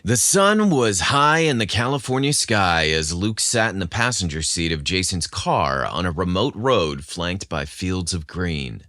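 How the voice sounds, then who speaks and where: A man reads scripted narration as a podcast host. His delivery is measured and theatrical, and the recording is close and dry. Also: low voice, sing-song, bright, forceful tone, no room sound.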